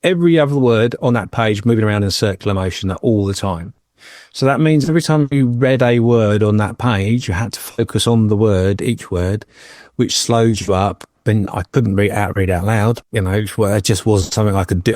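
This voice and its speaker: A man in his fifties from England tells personal stories on a podcast, slipping in dry jokes along the way. His voice is on the low side. The recording is close and dry. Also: moderate pace, a little mellow, dry recording. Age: 50s. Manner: jokey, anecdotal storyteller. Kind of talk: casual chat, personal storytelling.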